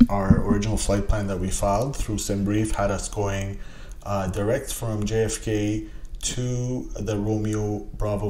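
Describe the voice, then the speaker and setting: Low, mellow-voiced man explaining casually. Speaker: tutorial host. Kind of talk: casual tutorial narration.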